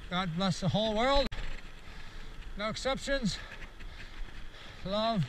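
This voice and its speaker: Higher-pitched sixty-year-old man, noisy outdoor recording. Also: takes it slowly. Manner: candid, meandering, self-reflective. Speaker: vlogger. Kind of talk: rambling reflective monologue. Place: outdoors, cycling on a rural road. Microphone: action camera on the bike or rider. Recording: outdoor wind and road noise.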